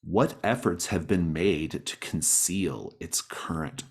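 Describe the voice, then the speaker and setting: A man with a low, husky voice leads a tabletop roleplaying game. He moves between reading rules, describing scenes and prompting players with an encouraging manner. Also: lively intonation, slow, a little mellow. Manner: encouraging, guiding players with questions. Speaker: game master. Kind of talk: narrating a tabletop roleplaying game, part read from rules and part conversational.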